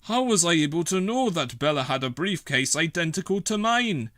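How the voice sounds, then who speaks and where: Storybook fireman, voiced by a male narrator: earnest, anxious, kindly, bright-toned. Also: on the high side, very animated, moderate pace, no echo, clean studio sound, voice pushed up. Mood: despairing.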